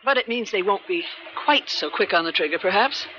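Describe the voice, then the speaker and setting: An insistent woman on a secret mission in a vintage radio thriller. Her voice is medium-pitched, earnest and guarded, on a muffled recording with clear background noise. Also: expressive, brisk, pushed and bright. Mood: earnest, urgent. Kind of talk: 1970s radio drama acting.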